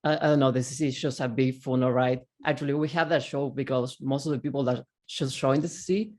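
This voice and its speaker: A man from Argentina speaks English with an Argentine Spanish accent, his first language being Spanish. He talks as a panelist in a loose, unscripted way, pausing on fillers and restarting his sentences as he searches for words. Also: medium pitch, fairly even intonation, darkish tone. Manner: halting, many fillers and restarts. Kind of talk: casual panel talk.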